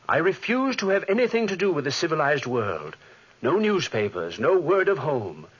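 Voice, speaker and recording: Husky, haunted scientist. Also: male voice, mid-range voice, a bit bright. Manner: melodramatic, dramatic narration. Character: haunted, guilt-ridden scientist telling his own tale, tense and evasive. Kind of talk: 1940s radio drama acting. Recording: muffled 1940s radio recording.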